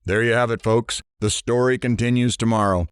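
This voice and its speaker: A man's voice: medium pitch, fairly even intonation, a little fast, smooth, no echo, studio quality, close to an everyday voice. A man playing an upbeat radio talk show host in an audio drama. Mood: genial, showy.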